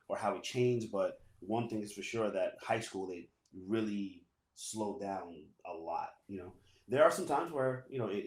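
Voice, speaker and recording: A man, a Spanish speaker, telling his story casually in an interview and filling pauses with "you know". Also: fairly low pitch. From New York. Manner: relaxed, many "you know" fillers. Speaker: guest, assistant principal. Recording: echoey room.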